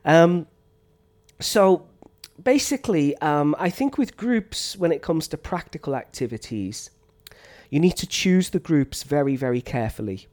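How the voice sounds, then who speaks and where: A science teacher giving relaxed, unscripted advice to other teachers, with frequent ums and a slight cough from a chest infection. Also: male voice, on the high side, a little slow. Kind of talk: teacher training talk.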